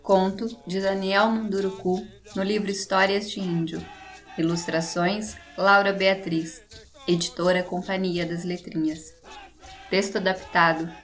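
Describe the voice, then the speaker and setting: A woman's voice: mid-range voice, level tone, unhurried, a bit bright. A woman reading scripted credits as an announcer. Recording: music behind the voice.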